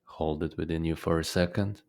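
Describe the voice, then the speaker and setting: A man leading a guided meditation as a teacher, speaking calmly and deliberately with frequent pauses. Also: rumbling low, gravelly.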